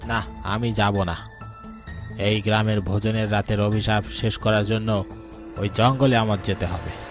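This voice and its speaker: A man's voice: fairly low pitch, fairly even intonation, a little slow, music in the background, lightly acted. A man playing a grave older village uncle who knows about spirits, speaking solemnly in a dramatic cartoon story. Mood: resolute.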